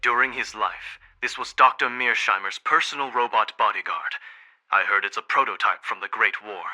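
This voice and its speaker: Game aide: very bright, lowish voice, deferential, crisp formal reporting. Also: male voice, moderate pace. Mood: dutiful. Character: dutiful, deferential male aide to a ruler.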